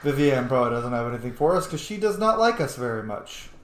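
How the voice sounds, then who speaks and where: A man's voice: mid-range voice, sing-song, fast talker, slight background noise. A man giving casual commentary as he plays a game, cheeky, blunt and sweary. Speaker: gamer, let's player. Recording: echoey room.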